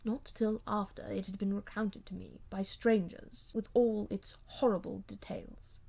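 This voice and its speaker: Woman putting on a cold, restrained gentleman. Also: medium pitch, fairly even intonation, unhurried, vocal fry, breathy edge. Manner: stiff, formal, clipped politeness. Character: cold, proud English gentleman husband, rigidly restrained.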